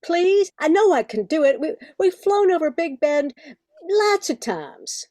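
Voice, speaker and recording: A woman's voice: very high voice, very animated, unhurried, a little mellow, no echo, studio quality, heavily acted. A woman playing an eager young female pilot in a radio play, pleading urgently. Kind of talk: old-time radio play acting.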